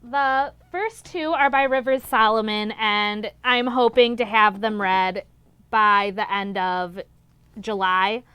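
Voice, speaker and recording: A woman's voice: mid-range voice, fairly even intonation, slow pace, sharp tone, clear, resonant tone, no room sound. A young woman chatting casually and enthusiastically as a vlog host, gushing and repeating words for emphasis. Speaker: book reviewer, vlog host. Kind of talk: casual book vlog chat.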